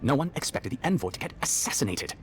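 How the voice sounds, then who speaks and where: An actor playing a courteous but defensive town official in a video game. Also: male voice, medium pitch, sing-song, quick pace, a bit bright, faint music behind. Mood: guarded.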